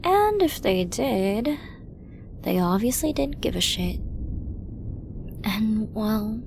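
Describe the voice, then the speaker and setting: A woman's voice: fairly high pitch, sing-song, takes it slowly, creaky, background noise, full character voice. A woman playing a flirty, dominant, menacing villain in an ASMR roleplay, teasing breathily and often dropping to a whisper. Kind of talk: ASMR roleplay audio drama.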